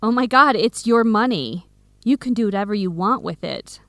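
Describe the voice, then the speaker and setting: A woman's voice: mid-range voice, lively intonation, normal speed, fairly bright tone, dry recording. Young woman, outspoken best friend, idealistic, emphatic delivery, radio drama, close dry recording. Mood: exasperated, insistent. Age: young adult. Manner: frank, emphatic. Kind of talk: educational radio drama dialogue.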